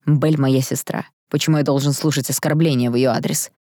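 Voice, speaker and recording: Casting: female reader as protective young man, stern, clipped and quick to anger, low-pitched, storybook drama. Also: steady tone, mellow, no echo, studio quality. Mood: indignant. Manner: blunt, through clenched teeth, stern. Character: hot-tempered, broad-shouldered young man defending his sister.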